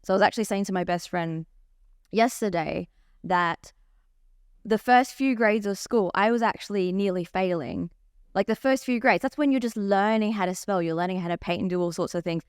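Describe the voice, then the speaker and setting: A woman's voice: mid-range voice, normal speed, clean tone, dry recording, clean studio sound. A young woman, a coach telling her story openly in a podcast interview. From Australia. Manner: open, animated storytelling. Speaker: life coach guest.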